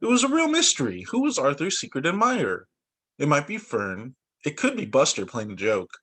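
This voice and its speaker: Man reading storybooks with very lively pitch. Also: brisk. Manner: animated, emphatic storytelling for young children. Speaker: teacher reading picture books to students. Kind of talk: expressive children's story read-aloud.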